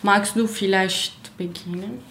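A young woman from Syria, hosting a community radio interview in slow, careful speech with small grammar slips. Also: mid-range voice, steady tone, fairly bright tone, a little hiss or hum. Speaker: radio show host. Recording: roomy echo.

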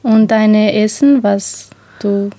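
A woman's voice: mid-range voice, slow pace, warm tone, no room sound. A twenty-year-old woman from Romania moderating a group talk on the radio. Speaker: radio show host.

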